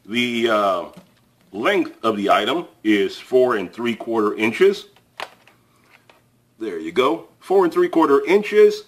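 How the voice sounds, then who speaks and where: A man's voice: medium pitch, sing-song, slow. Male host, casual tool-review chatter, plain-spoken, mid-range voice, loose fillers and restarts. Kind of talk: casual product review to camera. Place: indoors at a table, filmed from above.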